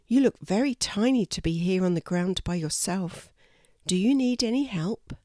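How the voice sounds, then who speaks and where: Woman voicing a gentle female kangaroo, storybook, soft-spoken, kind, expressive pitch, dry sound. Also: moderate pace, dry recording, close to an everyday voice.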